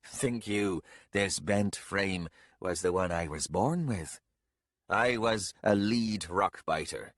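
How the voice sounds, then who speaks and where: A man voicing an old, bent castellan who speaks low, steady and patient. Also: slow, no echo, studio quality. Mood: quiet.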